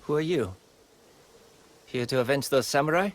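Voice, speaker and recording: An actor playing a proud, defensive young sword fighter in a video game, earnest, intense and guarded. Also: male voice, mid-range voice, lively intonation, moderate pace, close to an everyday voice. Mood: defiant. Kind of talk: video game cutscene acting.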